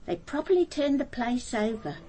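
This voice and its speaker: Radio drama: forthright working-class housekeeper, higher-pitched, slightly husky, animated and colloquial. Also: female voice, moderate pace, a little hiss or hum. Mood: brisk, defensive. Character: blunt, chatty working-class London landlady, defiant housekeeper.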